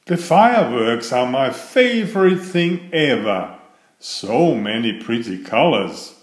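A man reading a children's picture book aloud in a deliberate, expressive storytelling manner. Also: mid-range voice, reverberant.

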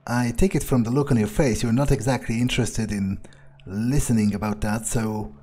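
A man's voice: medium pitch, steady tone, moderate pace, mellow, husky. A man thinking aloud in character as he plays a video game, musing hesitantly. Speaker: player of a video game playthrough, speaking in character. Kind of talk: in-character roleplay gameplay narration, thinking aloud.

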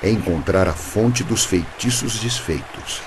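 An actor playing a calm, mysterious guide in a Brazilian radio drama, measured, formal and didactic. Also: male voice, deep voice. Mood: explaining. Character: calm, mysterious male guide who gives solemn warnings. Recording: noisy background ambience.

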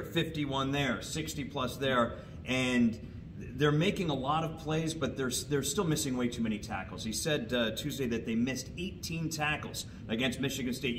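A middle-aged man giving wry, detailed sports analysis as a regular guest, recorded on a distant camera in a large indoor hall. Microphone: far camera. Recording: distant mic, rumbling background noise.

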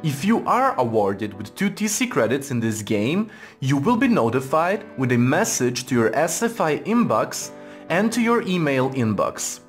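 A man delivers a formal, scripted tutorial voice-over at a middling pitch. His intonation moves up and down in a lively way as he gives measured instructions, with music playing behind him. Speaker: tutorial narrator. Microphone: voice-over for screen recording.

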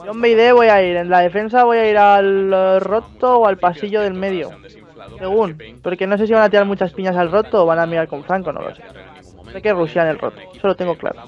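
A male gamer with a strikingly high voice and an accent from Spain talks to his teammates in game. He speaks quickly, and the headset chat sounds dull and boxed-in. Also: fairly even intonation. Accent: Spanish (Spain). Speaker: esports player. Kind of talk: team voice chat during a game. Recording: muffled band-limited team voice chat.

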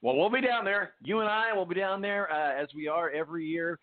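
A man hosting a sports radio show, talking casually in a halting, chatty way. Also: high voice, dry recording. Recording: phone-like, narrow-band dry line.

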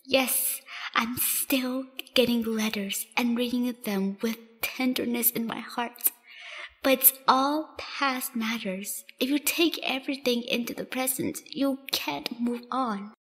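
Woman acting a high-voiced, tender rancher woman. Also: slow pace, fairly bright tone, in a large hall, poor audio. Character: tender, sincere female rancher in love. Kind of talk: fan radio drama acting.

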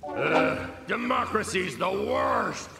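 An actor voicing a pompous, lazy, petulant cartoon ape councillor. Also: male voice, high-pitched, expressive, slow, vocal fry, exaggerated voice. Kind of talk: cartoon voice acting. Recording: loud cartoon music underneath.

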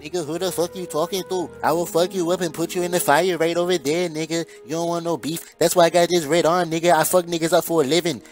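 Brash sweary guy doing slangy funny dubs, highish voice, loud music under it. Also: level tone, quick pace, few pauses. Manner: brash, foul-mouthed, slangy. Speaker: comic voice-over dubber. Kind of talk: comic slangy voice-over dubbing. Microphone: phone app voice-over recording.

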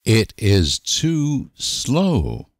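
A man reading short example sentences for language learners with deliberate, careful enunciation. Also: medium pitch, wildly swooping pitch, no room sound, clean studio sound. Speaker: language teacher.

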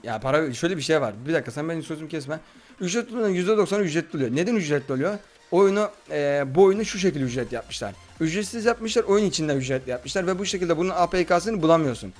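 A man's voice: a little high, animated, fast. A man chatting casually as a gaming host, bossy as he instructs and complains. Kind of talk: casual let's-play chat with a friend. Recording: music behind the voice. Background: background music.

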